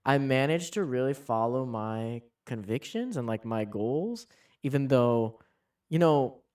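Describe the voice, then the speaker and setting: A man's voice: mid-range voice, lively intonation, a little slow, a little mellow, smooth, far from the mic. A man in his thirties chatting casually as a podcast co-host, musing with frequent restarts. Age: 30s. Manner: musing, talks in starts and restarts. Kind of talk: casual chat.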